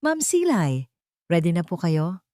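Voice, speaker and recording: A polite Filipino office assistant in an audiobook story, played by a woman narrator. The delivery is deferential, courteous and businesslike, with lively intonation. It sounds dry and close. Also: fairly low pitch, a little slow, dry recording. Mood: polite, attentive. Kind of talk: audiobook character dialogue.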